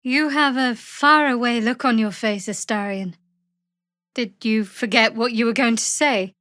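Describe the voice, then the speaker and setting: A woman playing a guarded, sardonic young female cleric adventurer in a fantasy video game, with a dry, wry, measured delivery. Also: a little high, a bit bright, no room sound.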